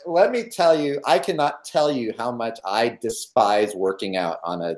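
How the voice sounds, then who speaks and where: A man's voice: mid-range voice, lively intonation, normal speed. A man around fifty, a podcast guest, candidly telling his own story with self-deprecating humor and emphatic repetitions.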